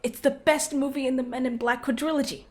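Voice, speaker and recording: A woman's voice: high-pitched, steady tone, quick pace, lightly acted. A woman playing a cheeky, unflappable small-time villain in a comedic audio play, flippant and taunting in manner. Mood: casual, quirky.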